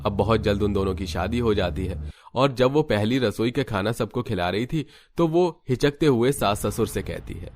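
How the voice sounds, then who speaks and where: A man's voice: on the low side, animated, moderate pace, a little mellow. A man reading scripted narration for a cartoon story as the storyteller.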